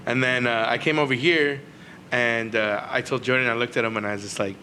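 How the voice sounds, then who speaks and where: A man's voice: medium pitch, fairly even intonation, fairly quick, pushed and bright, a little hiss or hum. A man in his twenties telling a story in a laid-back, slangy way. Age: 20s. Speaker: podcast co-host. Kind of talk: casual storytelling.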